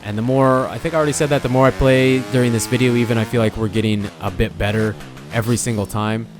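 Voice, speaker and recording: A young man with a hoarse, fading voice, chatting casually as he comments on his own gameplay, often stopping to correct himself. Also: mid-range voice, fairly even intonation, fast talker, over music. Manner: rambling, self-correcting, chatty. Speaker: gaming channel host.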